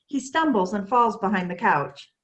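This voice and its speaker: A woman's voice: medium pitch, animated, moderate pace, a bit bright. A woman narrating, reading out the stage directions of a children's play.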